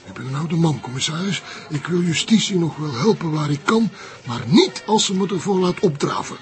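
A man playing a cautious old retired journalist in a TV crime drama, with a Flemish accent and a measured, wry way of talking. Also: on the high side, expressive, soft background music, natural voice. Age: older.

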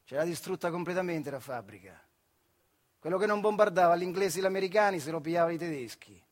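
A working-class man from a factory, telling his memories in earthy dialect. His voice is high for a man, with a central Italian Marche accent and an expressive, theatrical delivery. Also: fast. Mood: reminiscing. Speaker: stage actor performing a monologue.

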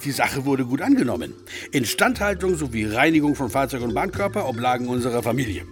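A man's voice: medium pitch, sing-song, fast talker, faint music behind, close to an everyday voice. An actor playing an effusive, gallant male railway engineer in a satirical radio play, gushing in florid, formally polite phrases. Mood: earnest reminiscing.

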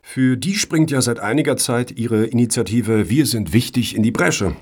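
An actor playing a wry, officious foundation board member in a satirical radio play, with an ironic, formal way of talking. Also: male voice, mid-range voice, normal speed, a little mellow, close to an everyday voice.

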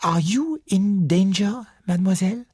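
A woman narrator voicing a courteous, self-assured male detective who speaks gently and thoughtfully in an audiobook. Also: mid-range voice, lively intonation, moderate pace, slightly rough, dry recording, studio quality, voice pushed up. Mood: gentle, probing. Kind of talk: audiobook character dialogue.

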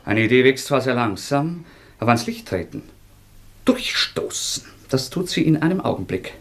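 An actor in a radio drama playing a feverish, obsessive young former student, halting and repeating himself with intensity. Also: male voice, medium pitch, big pitch swings. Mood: obsessive, intense. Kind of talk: German radio drama acting.

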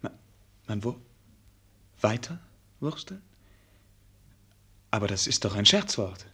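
An actor playing a naive, courteous and dismayed prince in a German radio play, with a husky voice and polite, halting aristocratic speech. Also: male voice, lowish voice, big pitch swings, bright, forceful tone, heavily acted. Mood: bewildered, indignant.

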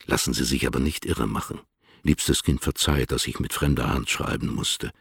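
Very deep, gravelly, dignified older poet. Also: male voice, dry recording, studio quality, exaggerated voice. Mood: warm, apologetic. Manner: formal, measured, courtly. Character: dignified, affectionate older male poet answering letters. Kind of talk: dramatized letter reading in a radio play.

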